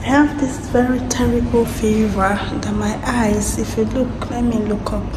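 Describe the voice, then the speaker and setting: Hoarse female vlogger, 30s, higher voice, chatty and explanatory, phone selfie mic in an echoey room with steady ambience. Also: a little slow, background noise. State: hoarse with sore throat. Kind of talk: casual vlog to camera. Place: hospital waiting area, indoors. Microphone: phone at arm's length.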